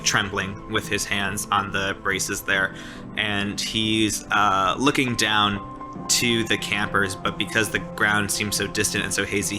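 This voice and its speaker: A man improvising narration as a player in a tabletop roleplaying game, in a casual, informal way. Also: lowish voice. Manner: informal, peppered with "like" and "sort of".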